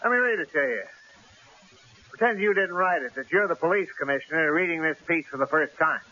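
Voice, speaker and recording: An actor playing a cautious, exasperated newspaper editor in an old-time radio drama, brusque and argumentative. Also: male voice, a little high, a little fast, sharp tone. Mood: worried, argumentative. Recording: hissy, dull old radio broadcast recording.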